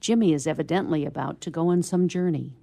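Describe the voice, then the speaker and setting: A woman's voice: deep voice, fast talker, vocal fry, mellow, no room sound. A woman narrating a scripted radio play.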